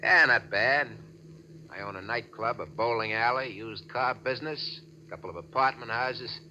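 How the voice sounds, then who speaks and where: An actor playing a slick, bossy gangster and nightclub owner, brash and glib, in a 1940s radio drama. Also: male voice, mid-range voice, moderate pace, bright, forceful tone. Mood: hearty, boastful. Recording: dull, noisy vintage radio recording.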